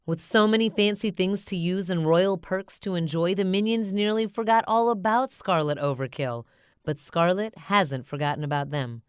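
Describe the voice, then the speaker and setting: A woman reads a children's story aloud with expression. Her voice is fairly low, smooth and crisply articulated, in a dry, close recording. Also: moderate pace, no echo. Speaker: storybook narrator.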